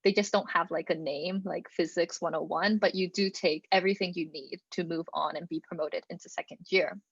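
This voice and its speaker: A woman's voice: fairly low pitch, fairly even intonation, fairly quick, creaky, breathy edge, no echo, lo-fi sound. Young woman talking casually on a call. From Canada (Alberta). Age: young adult. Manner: casual, many fillers and "like". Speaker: student presenter. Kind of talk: online presentation talk. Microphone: computer mic on a video call.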